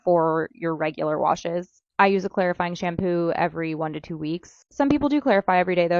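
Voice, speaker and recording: A woman speaks in a slightly low voice, rapidly and informally, like a content creator sharing a checklist. She leans on words for emphasis and keeps it conversational. The sound is dry and a little dull, with no room around it. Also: dry recording. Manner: chatty, informal, emphatic repetition. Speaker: hair care content creator. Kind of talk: casual advice to camera, listing recommendations.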